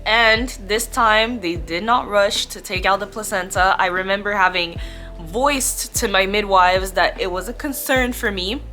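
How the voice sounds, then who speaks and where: Bright-voiced young woman telling a candid story. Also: medium pitch, measured pace. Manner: candid, chatty, expressive emphasis. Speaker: vlogger telling her birth story. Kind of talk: casual storytime to camera. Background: background music.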